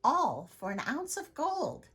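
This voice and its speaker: A woman's voice: sits high, sing-song, takes it slowly, a bit bright, pitched above the performer's own voice, exaggerated voice. A woman voicing a clever, bold young female troll in a storybook, brash and often sing-song like a rhyme. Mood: brisk, persuasive.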